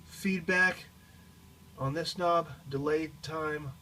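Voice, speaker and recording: Man giving a halting, casual gear demo. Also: on the high side, steady tone, very noisy. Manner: halting, explanatory. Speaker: guitar teacher demonstrating an effects pedal.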